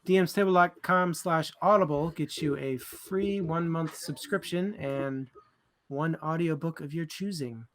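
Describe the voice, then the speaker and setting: A man's voice: fairly high pitch, a little slow. A man co-hosting a book club livestream in casual, informal chat with a bit of joking.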